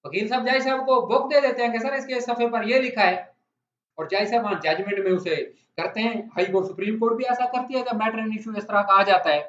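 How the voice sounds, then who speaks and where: A man's voice: high voice, steady tone, a little fast, a bit bright. A man with a Pakistani accent gives an explanatory law lecture in a conversational way, putting questions to his listeners. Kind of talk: explanatory lecture with everyday examples. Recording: echoey room, dull lo-fi sound.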